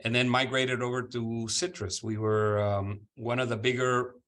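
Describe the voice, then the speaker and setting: A middle-aged man from Honduras, a guest talking casually in an interview, relaxed with many restarts and fillers. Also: mid-range voice, normal speed. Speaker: guest, citrus company president.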